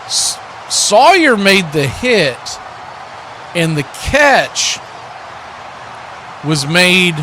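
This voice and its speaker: A man does live football play-by-play. His voice sits high and has a bright, forceful edge. Faint noise runs behind him. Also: sing-song, very slow, pauses often. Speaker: play-by-play sports commentator.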